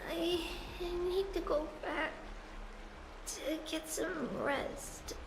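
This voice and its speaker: Tiny, very high frail girl. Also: steady tone, echoey room, noisy background, full character voice. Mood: guilty, weary. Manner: timid, halting, plaintive. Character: frail, apologetic little girl, video game.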